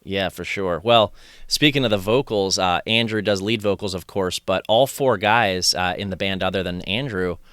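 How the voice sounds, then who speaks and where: Male podcast host, lowish, crisp and dry; supportive, curious interviewer. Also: moderate pace, fairly bright tone, no room sound. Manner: affirming, asks thoughtful questions. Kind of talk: casual interview chat.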